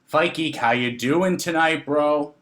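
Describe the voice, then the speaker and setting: A man's voice: a little high, slow, a bit bright. A man hosting a casual sports podcast, talking enthusiastically and repeating phrases for emphasis. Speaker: podcast host.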